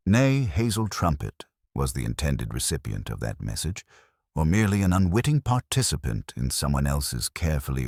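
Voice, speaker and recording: Very deep-voiced man narrating a detective story. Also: a little mellow, no echo, clean studio sound. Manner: measured, literary storytelling delivery.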